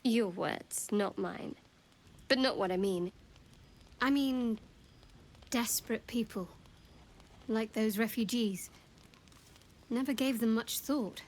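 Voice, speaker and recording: An actress playing a guarded, wry young female cleric in a fantasy game, speaking in a dry, measured, coy way. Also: a little high.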